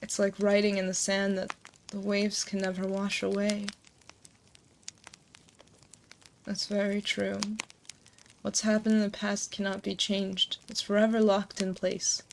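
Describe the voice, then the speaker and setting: A woman playing an ancient succubus demon queen, motherly and gently teasing, in a hushed, soothing, intimate ASMR roleplay, close to a binaural mic. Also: medium pitch, close to an everyday voice. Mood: reflective, regretful. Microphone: close binaural 3Dio microphone. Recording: close binaural mic, noticeable background noise.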